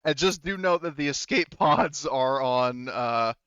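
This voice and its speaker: A man acting as game master for a casual tabletop roleplaying game, halting and thinking aloud as he explains rulings. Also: mid-range voice, fairly bright tone, smooth, no echo, lo-fi sound.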